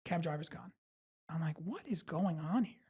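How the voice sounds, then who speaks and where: Husky New York man telling a story. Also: fairly high pitch, normal speed. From Brooklyn, New York. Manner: animated, profane, rambling, full of fillers and self-mockery. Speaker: stand-up comedian guest. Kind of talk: casual storytelling in a podcast interview.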